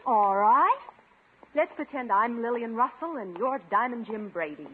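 A woman's voice: fairly high pitch, lively intonation, unhurried. An actress playing a refined, flirtatious lady on a date, gushing and coaxing in 1950s radio sitcom style. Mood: excited. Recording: noisy, narrow-band 1950s radio broadcast.

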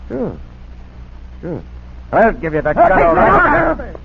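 An actor playing a cornered, folksy outlaw with menace in him, in a 1940s radio drama. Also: male voice, high-pitched, huge pitch swings, slow, creaky, exaggerated voice. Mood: menacing. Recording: noisy, narrow-band vintage radio recording.

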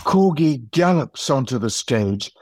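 A man narrates an audio play at a slow, measured pace. The recording is dry and close, and his pitch sits in an ordinary middle range. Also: lively intonation, darkish tone, no echo.

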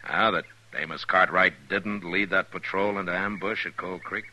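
Very deep, forceful Old West marshal. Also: male voice, steady tone, normal speed, full character voice. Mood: measured, probing. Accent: American. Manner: terse, authoritative, clipped replies. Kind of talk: 1950s radio western acting. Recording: old radio recording with faint noise.

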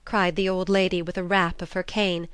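A woman's voice: fairly low pitch, flat delivery, moderate pace, fairly bright tone, clear, resonant tone, dry recording. A woman reading a classic novel aloud as a scripted literary narration. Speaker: audiobook narrator.

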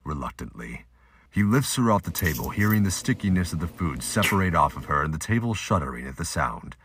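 A man's voice: low voice, big pitch swings, brisk, a bit bright, slight background noise. A man giving scripted, audiobook-style narration for an audio drama.

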